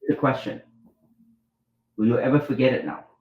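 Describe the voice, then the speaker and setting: A man's voice: medium pitch, steady tone, normal speed. Brief: middle-aged man from Vietnam, rambling anecdote teller, roomy echo, muffled sound. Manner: rambling, restarts, acts out quoted dialogue. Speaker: guest, doctor and author. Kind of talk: storytelling interview.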